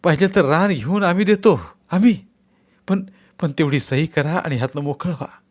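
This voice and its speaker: A man's voice: a little high, normal speed, pitched above the performer's own voice, natural voice. Village headman, urgent and begging, as a male narrator puts him on. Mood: pleading. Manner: urgent pleading.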